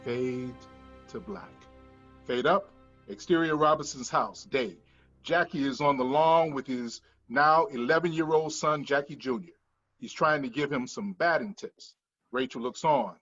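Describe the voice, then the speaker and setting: A man's voice: medium pitch, measured pace, fairly bright tone. A man reading screenplay stage directions as scripted narration. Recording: dull lo-fi sound, music behind.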